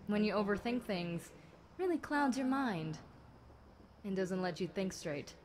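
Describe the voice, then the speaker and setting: A woman's voice: medium pitch, animated, a little mellow, natural voice. A woman playing a chatty, centuries-old fallen angel demon girl, talking wryly and conversationally to one listener in an audio roleplay. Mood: matter-of-fact explaining. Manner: conversational, wry, unhurried, dramatic musing. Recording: clear background noise.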